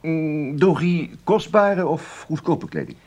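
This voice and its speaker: A man's voice: fairly high pitch, huge pitch swings, slow pace, darkish tone, full character voice. An actor playing a chatty police detective in a radio play, politely bashful and hesitant while he pries, repeating himself. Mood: apologetic, probing. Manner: hesitant, repetitive, disarmingly polite. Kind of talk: radio drama acting.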